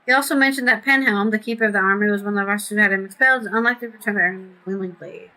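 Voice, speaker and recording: A woman's voice: mid-range voice, rapid-fire, sharp tone, low-quality recording. A woman casually commenting on a game she is playing and reading its text aloud, chatty and jokey. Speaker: game streamer. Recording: voice over game music.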